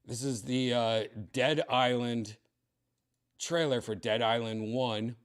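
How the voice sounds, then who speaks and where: A tipsy man with a raspy, dry-throated voice, chatting casually as a comedian hosting his livestream, rambling and clearing his throat often. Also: medium pitch, steady tone, slow. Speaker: comedian streaming host.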